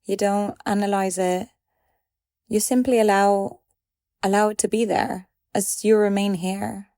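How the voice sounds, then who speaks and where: Woman, meditation guide, soft dark timbre, calm measured phrasing, very dry close sound. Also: mid-range voice, no echo. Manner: calm, gentle, measured delivery with pauses.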